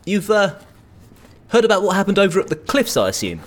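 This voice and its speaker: A high-voiced, slightly husky male petrol station attendant in a comedy audio drama. He swoops all over his range, rattling off chirpy puns and prying into customers' business. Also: sing-song, fairly quick. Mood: gossipy. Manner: chirpy, rambling, punning sales patter. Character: chatty, nosy, over-eager male night-shift petrol station attendant.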